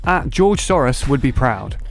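A man's voice: mid-range voice, big pitch swings, measured pace, creaky, soft background music. A man hosting a casual political podcast, sarcastic and mocking. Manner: sarcastic, mocking voices of others. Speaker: podcast host.

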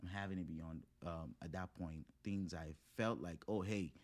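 A man's voice: deep voice, steady tone, moderate pace. A man in his thirties speaking as a podcast guest in a casual, motivational conversation, earnestly building his points in short phrases.

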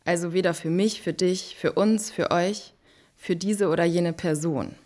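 A woman's voice: lowish voice, fairly even intonation, unhurried, darkish tone. A woman performing a scripted spoken-word monologue with insistent, list-like repetition. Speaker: performer in an educational audio play.

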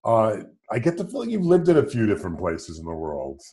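A man's voice: on the low side, huge pitch swings, brisk, dark tone. A man hosting a podcast, reading a scripted opening, then asking upbeat questions full of fillers. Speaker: podcast host. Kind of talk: scripted intro then casual interview.

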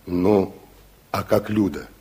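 Casting: fatherly Soviet security officer, low and rough-edged, probing and earnest, vintage radio drama. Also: slow, warm tone, heavily acted. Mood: confiding. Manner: probing, repeats words back. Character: weary Soviet secret policeman, earnest, watchful, fatherly. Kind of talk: 1960s Soviet radio play acting. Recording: muffled-edged 1960s radio drama recording.

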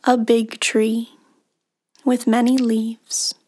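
A woman with a fairly high voice guides a meditation at an extremely slow pace. Her delivery is even and soothing, with long pauses between short phrases. The ends of her phrases soften into breath. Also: slightly rough. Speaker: meditation guide, podcast host.

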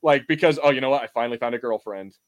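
A man's voice: mid-range voice, racing, highs cut off. A man co-hosting a podcast, riffing casually in slangy, sweary watch-party chat. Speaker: podcast co-host.

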